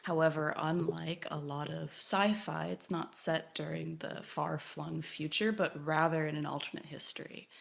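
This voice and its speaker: Lower-pitched woman explaining on a podcast. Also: fairly even intonation, moderate pace, vocal fry, hardly any pauses. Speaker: podcast co-host. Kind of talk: conversational explanatory summary.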